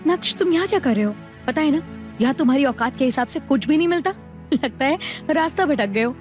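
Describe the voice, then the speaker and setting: An actress playing a snobbish, taunting, spoilt young wife in an audio drama. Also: female voice, high voice, lively intonation, fairly quick, lo-fi sound, faint music behind. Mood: haughty. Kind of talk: Hindi audio drama acting.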